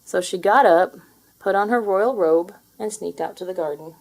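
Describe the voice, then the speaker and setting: Lady reading a kids story out loud, mellow medium voice, expressive and deliberate. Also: mid-range voice, vocal fry, poor audio. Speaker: storybook reader. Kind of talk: children's read-aloud narration with character voices.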